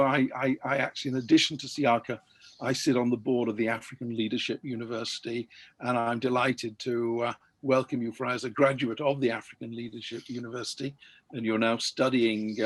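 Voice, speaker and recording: A man's voice: medium pitch, dry recording. A man hosting an online interview over a video call, speaking with many hesitations and restarts. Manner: hesitant, frequent fillers and restarts. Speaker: interviewer and host. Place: home in London during lockdown.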